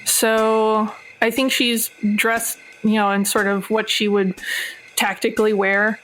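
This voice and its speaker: Female tabletop player, medium pitch, casual actual play chat. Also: level tone, slow, a bit bright, slight background noise, rough recording.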